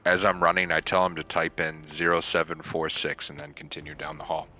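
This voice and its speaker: A man's voice: very deep voice, flat delivery, fairly quick, bright, forceful tone, lo-fi sound, slight background noise. A man playing a tabletop game, chatting casually and often doubling back on his words. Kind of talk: casual banter. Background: music.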